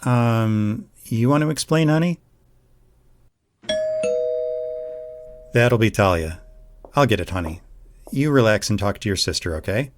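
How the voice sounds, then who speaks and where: A man acting as a gentle, reassuring young boyfriend in an intimate audio roleplay, speaking in a soothing, affectionate way. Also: on the low side, expressive, moderate pace, background noise, lightly acted.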